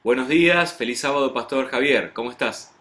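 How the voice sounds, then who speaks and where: An Argentine man hosts a church interview show. He speaks at a middle pitch and addresses the audience directly in a friendly way. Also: a little fast, sharp tone. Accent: Argentine Spanish. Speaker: pastor and program host.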